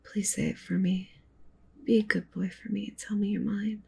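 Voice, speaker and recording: A woman's voice: flat delivery, moderate pace, warm tone. Woman acting a whispering, possessive wolf-witch girlfriend. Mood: adoring, intense. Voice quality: breathy whisper with low creaky murmur. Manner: intimate, tender, clingy, coaxing. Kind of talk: ASMR roleplay, soft whispering.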